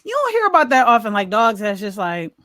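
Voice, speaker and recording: Higher-voiced woman in casual chat, dry sound. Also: huge pitch swings, a little fast, a bit bright, dry recording. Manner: repeats words and phrases as she reacts. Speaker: podcast co-host.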